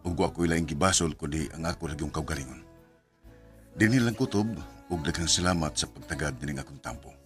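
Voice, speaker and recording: A man's voice: a bass voice, a little fast. A Filipino man with a Cebuano accent playing a remorseful, guilt-ridden man in an emotional radio drama, speaking confessionally. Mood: regretful, sombre. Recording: music bed under the voice.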